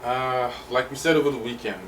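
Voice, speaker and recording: A man with a Nigerian accent trading playful banter and exclamations with his wife. He sits back from the microphone, so he sounds a little distant, with steady room noise behind him. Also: medium pitch, fairly quick. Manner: bantering, exclamatory, laughs a lot. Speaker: vlogger's husband, guest. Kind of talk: casual chat.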